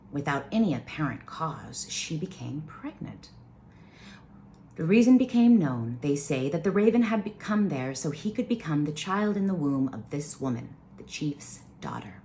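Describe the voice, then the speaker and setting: A woman storyteller reading aloud with informal asides, halting and careful over names. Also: low voice, a little mellow, slight background noise.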